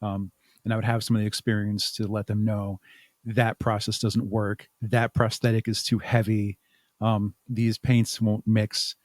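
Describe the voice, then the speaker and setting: A man's voice: lowish voice, level tone, measured pace, no echo. Man chatting reflectively, dry close sound. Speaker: podcast guest, haunt makeup artist and audio drama creator. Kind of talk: casual interview talk, reflective.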